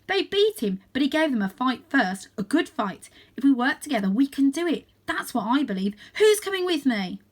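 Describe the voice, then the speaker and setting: An earnest young tomcat from a children's storybook, played by a woman, speaks in a raised, high voice. He is anxious yet determined, pressing each point with urgent emphasis. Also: very animated, normal speed, few pauses.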